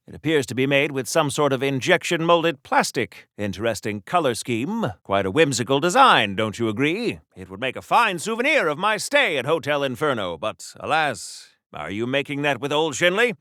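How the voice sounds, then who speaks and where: A male voice actor playing a chatty, courtly, oblivious gentleman adventurer in a comic superhero game, in a mannered, wordy 1940s old-time radio style. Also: medium pitch, very animated, normal speed, few pauses, dry recording, clean studio sound. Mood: suave, appreciative. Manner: mannered, wordy, theatrical, polite.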